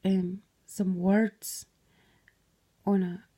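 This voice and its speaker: A woman's voice: mid-range voice, little pitch movement, drawn-out words, dark tone. A Scottish woman casually retelling a dream, rambling, slipping into whispered asides and swearing freely.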